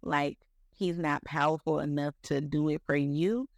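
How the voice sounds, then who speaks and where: Very gravelly speaker in their thirties. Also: medium pitch, fairly even intonation, a little slow, dry recording, studio quality. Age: 30s. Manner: rambling, heavy use of "like" and "you know", repeats words. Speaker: podcast speaker. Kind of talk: casual faith talk.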